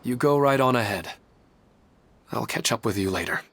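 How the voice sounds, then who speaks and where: A man's voice: mid-range voice, animated, moderate pace, fairly bright tone, slight background noise. A man voicing a humble, resigned father in a video game, earnest and tender. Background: game music.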